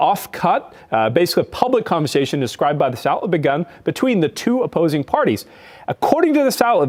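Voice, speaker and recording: A man in his thirties reporting on a news discussion show, halting and emphatic, repeating phrases as he reads out and explains a report. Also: sits high, darkish tone. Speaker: news correspondent. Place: television studio.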